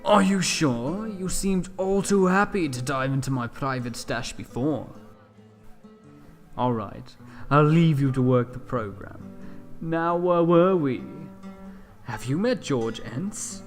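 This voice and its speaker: A man's voice: on the high side, slow. A man playing a suave, self-important wealthy businessman with a patronizing manner in an audio drama. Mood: suave, condescending. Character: smooth, self-important wealthy businessman at his party, condescending. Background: music with plucked guitar.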